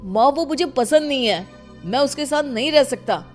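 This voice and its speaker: A woman's voice: sits high, expressive, moderate pace, voice pushed up. Woman putting on irritated, stubborn grown son. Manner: curt, complaining. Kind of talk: dramatized moral storybook dialogue. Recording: music behind the voice. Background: background music.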